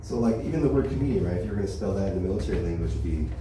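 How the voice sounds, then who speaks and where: A man's voice: deep voice, expressive, rapid-fire. A young man from the Los Angeles area with an American accent, doing a rambling stand-up set full of "you know" and "right?" at an open mic. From Los Angeles area, USA. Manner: rambling, filler-heavy delivery with constant "you know, right?". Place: small comedy club open mic. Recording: echoey room, from far off, noisy.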